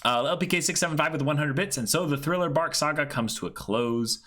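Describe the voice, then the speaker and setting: A man hosting a livestreamed reading, chatting casually and exclaiming in an animated way. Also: a little high, fast talker. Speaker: host of a livestreamed manga dramatic reading. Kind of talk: casual live stream chat with comic asides.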